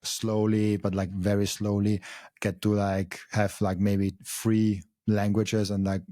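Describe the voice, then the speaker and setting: A man's voice: lowish voice, little pitch movement, slow pace, mellow, dry recording, clean studio sound. A young man from Austria with a German accent hosting a podcast, thinking aloud in casual talk with many fillers and restarts. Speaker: podcast host. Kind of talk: casual conversation.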